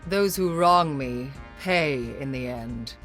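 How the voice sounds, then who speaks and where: A woman's voice: a bass voice, slow pace, fairly bright tone, faint music behind, heavily acted. An actress playing a haughty, brilliant, driven female scientist in a video game, speaking in a confident, lyrical and dryly witty way. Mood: cold, ominous. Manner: well-spoken, lyrical, confident, dry. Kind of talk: video game hero voice lines.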